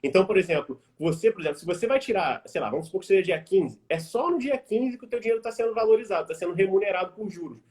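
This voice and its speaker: A young Brazilian man in his twenties, an investment advisor explaining things casually on a live stream, informal and quick with many fillers. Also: sits high, expressive, darkish tone. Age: 20s. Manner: quick, informal, addresses audience as "gente", many fillers like "vamos dizer". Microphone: video call.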